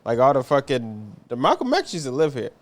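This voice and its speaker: A man's voice: medium pitch, wildly swooping pitch, a little fast. A man hosting a casual comedy chat, teasing bluntly in heavy street slang. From Boston, United States. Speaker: podcast host, comedian.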